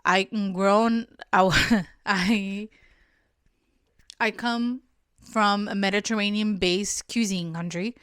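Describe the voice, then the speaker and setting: A woman's voice: mid-range voice, fairly even intonation, slow, pauses often, fairly bright tone, clear, resonant tone, no room sound, studio quality. A young woman from Portugal hosting a solo podcast, giving friendly, instructive advice and sometimes correcting herself mid-sentence. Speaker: podcast host.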